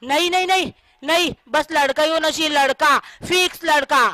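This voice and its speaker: A woman's voice: fairly high pitch, normal speed, bright, forceful tone, dry recording. A woman playing a domineering, impatient husband in a stage skit, speaking bluntly, boastfully and insistently. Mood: stubborn. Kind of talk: stage skit acting in dialogue.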